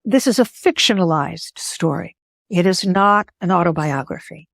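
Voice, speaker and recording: Measured older woman, Greek first language. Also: on the low side, animated, creaky, dry recording, studio quality. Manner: thoughtful, measured, pausing to rephrase. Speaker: guest. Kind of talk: interview conversation.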